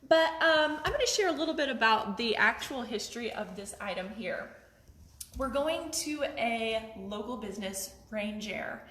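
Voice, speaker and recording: A young woman with a fairly high voice gives an informal museum presentation to camera. She is chatty and friendly. The recording is clearly roomy, as if on a phone in a large hall a few feet away. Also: a bit bright. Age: 20s. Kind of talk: informal artifact showcase to camera. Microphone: handheld phone camera a few feet away. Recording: roomy, echoey phone video indoors.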